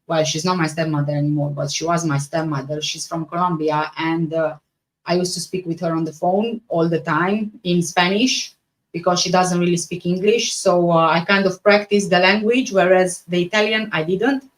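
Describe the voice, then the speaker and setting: A woman from Romania with a Romanian accent chats casually as a livestream host, answering viewers in a halting way that often repeats and rephrases. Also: lowish voice, steady tone, poor audio.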